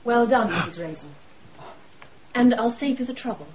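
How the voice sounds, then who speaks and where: A woman's voice: on the high side, expressive, normal speed, muffled recording, crowd noise, close to an everyday voice. A woman playing a bitter, sardonic murderess in a radio drama, mocking and scornful. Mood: smug menace. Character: bitter, sardonic murderous wife holding people at gunpoint.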